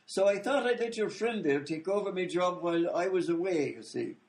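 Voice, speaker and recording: A cheerful, slow-on-the-uptake police officer, played by a man in a radio comedy. His voice sits high and he rambles in an easygoing way. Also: lively intonation, a little mellow. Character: dim, overly literal male police officer, cheerful. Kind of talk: comic radio play acting.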